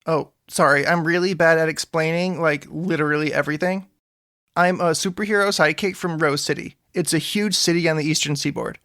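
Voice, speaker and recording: A man's voice: on the high side, steady tone, brisk, fairly bright tone, no echo, studio quality. A man playing an earnest, awkward young superhero sidekick who politely rambles through explanations in an audio drama. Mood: awkward, friendly. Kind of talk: scripted audio drama dialogue.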